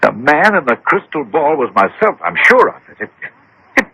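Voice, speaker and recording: An animated, headstrong, agitated gentleman. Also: fairly high pitch, vocal fry, breathy edge, lo-fi sound, full character voice. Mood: shaken, insistent. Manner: insistent, dramatic, halting exclamations. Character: headstrong, agitated gentleman demanding to know his fate. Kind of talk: 1970s-80s radio drama acting. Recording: old radio broadcast, faint noise.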